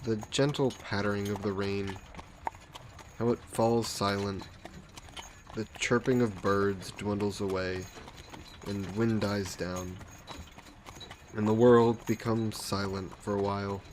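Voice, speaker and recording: A man playing a suave, possessive vampire lord in an intimate fantasy audio roleplay, speaking softly and coaxingly with condescending affection, as if hypnotizing a captive. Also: lowish voice, takes it slowly, long pauses, a little hiss or hum. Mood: calm, wistful. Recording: steady background carriage and rain ambience. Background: horse-drawn carriage and rain sound effects.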